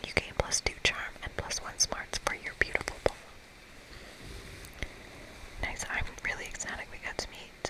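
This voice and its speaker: The voice is a constant breathy whisper with no real voiced tone. It belongs to an ASMR creator reading through a game aloud, adding playful asides along the way. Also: rough recording. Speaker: ASMR creator playing a visual novel.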